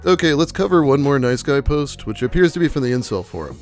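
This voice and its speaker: A man's voice: medium pitch, very animated, fast talker, darkish tone, faint music behind. Sardonic man reading posts aloud. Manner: dry, mocking, blunt with profanity. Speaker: YouTube host reading online posts.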